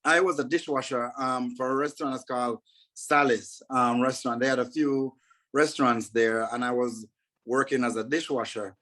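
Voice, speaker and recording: A man from Jamaica, a guest in an interview, telling his story in an earnest, measured way with frequent fillers. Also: medium pitch, fairly even intonation, pushed and bright, low-quality recording.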